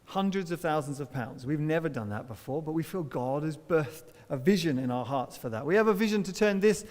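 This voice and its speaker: A man preaching a sermon, telling personal stories with gentle humor and the odd laugh. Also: a little high, lively intonation, brisk. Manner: anecdotal, warm humor, occasional laughs. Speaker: pastor. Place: church auditorium. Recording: long reverb of a church hall.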